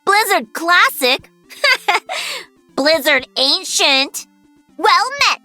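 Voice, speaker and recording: A woman's voice: extremely high pitch, animated, very slow, pushed and bright, faint music behind, exaggerated voice. A woman voicing a perky, cocky young female pro-gamer mech pilot for a video game. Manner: bubbly, cocky, teasing.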